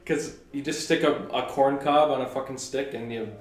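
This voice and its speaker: A man's voice: mid-range voice, steady tone, normal speed, reverberant. A young man co-hosting a podcast in casual chat, mostly chiming in with short laid-back remarks. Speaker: podcast co-host.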